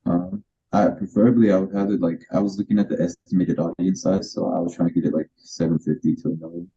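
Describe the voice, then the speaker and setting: A man's voice: low-pitched, fairly even intonation, fast talker, dark tone, slightly rough, dull audio. A man answering questions over a video call, hesitant and full of ums. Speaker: agency owner being coached.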